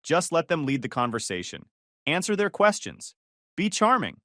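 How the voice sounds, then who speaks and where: A man's voice: fairly high pitch, very animated, normal speed, bright, forceful tone, dry recording, studio quality, voice pushed up, full character voice. A man voicing a smug, manipulative young girlfriend who talks in a coaxing, condescending way, in a storybook reading.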